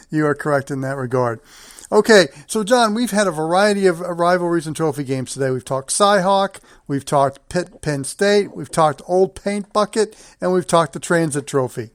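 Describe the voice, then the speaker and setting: A man's voice: on the high side, expressive, moderate pace. A man hosting a sports show, running through matchups and results in conversation with a guest.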